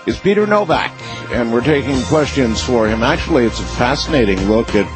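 A man's voice: mid-range voice, expressive, brisk, music in the background. Man hosting a radio call-in show. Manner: interjecting with short prompts. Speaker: radio talk show host. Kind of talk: late-night radio call-in chat.

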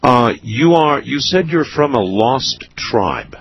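A man hosting a radio call-in show, speaking in a slow, deliberate, measured way with pauses. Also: medium pitch, lively intonation, dull audio, slight background noise. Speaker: radio talk show host. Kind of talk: late-night radio call-in interview.

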